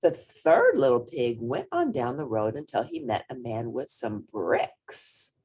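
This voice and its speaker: A woman reading a children's story aloud expressively, with drawn-out emphasis and playful asides. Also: a bass voice, wildly swooping pitch, a little mellow, husky.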